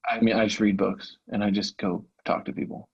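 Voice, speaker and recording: A man's voice: on the low side, level tone, fairly quick, breathy edge, highs cut off. A man speaking casually and candidly, a little self-effacing, as a salesman on a recorded call. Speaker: salesman, prospective coaching client. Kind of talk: casual, candid phone conversation.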